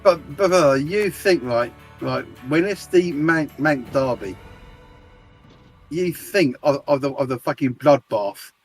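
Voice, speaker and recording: A man's voice: on the high side, lively intonation, slow pace, faint music behind. A man streaming a game, chatting casually with friends in a rambling, stammering way and swearing often. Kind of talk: casual voice chat while gaming.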